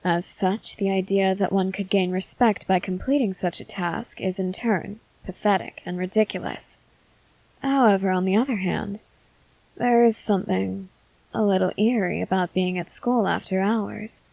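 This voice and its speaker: A woman voicing a composed, haughty junior high schoolgirl with formal, measured, articulate speech in a scripted ASMR roleplay. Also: gravelly, a little mellow. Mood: disdainful, musing.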